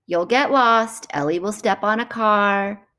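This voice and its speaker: A woman voicing a grumpy, know-it-all female chimp who sighs and corrects in a weary, drawn-out way for a children's storybook. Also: medium pitch, bright, forceful tone, voice pushed up. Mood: weary, scolding.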